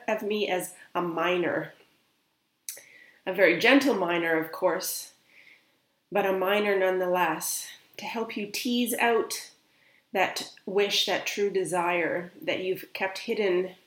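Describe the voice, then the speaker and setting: A woman speaking as a spiritual medium, gently and unhurriedly relaying another's words in a guided meditation. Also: mid-range voice, echoey room.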